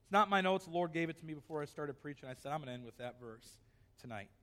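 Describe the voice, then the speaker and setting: A man's voice: fairly high pitch, expressive, fast, bright, forceful tone, in a large hall. A male pastor preaching a sermon in a church, reading scripture aloud and then pressing his point with rising emphasis.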